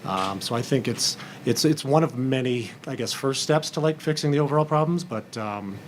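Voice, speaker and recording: A man's voice: medium pitch, moderate pace, background noise. A man speaking candidly and informally as a producer in a roundtable discussion, explaining things in plain words. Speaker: film and TV producer on a panel.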